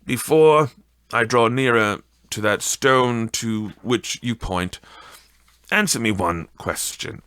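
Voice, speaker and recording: Storybook old miser, voiced by a male reader: slow, frightened, repentant, pleading with fervor, captured very dry. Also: medium pitch, fairly bright tone, clear, resonant tone, dry recording. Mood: anxious, pleading. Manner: pleading, fervent, drawn-out delivery. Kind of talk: dramatic audiobook character acting.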